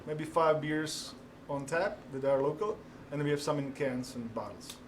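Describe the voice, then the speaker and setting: Czech-accented man, halting and friendly. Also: on the high side, slight background noise. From Czech Republic. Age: middle-aged. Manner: halting, many fillers, laughs easily. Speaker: restaurant owner. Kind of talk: casual studio interview.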